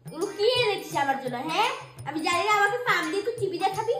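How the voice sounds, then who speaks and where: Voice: very high-pitched, expressive, very fast, roomy sound. A performer voicing a bossy, scornful young girl in a children's cartoon, scolding and threatening in a haughty way over music. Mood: scornful, annoyed. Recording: music behind the voice.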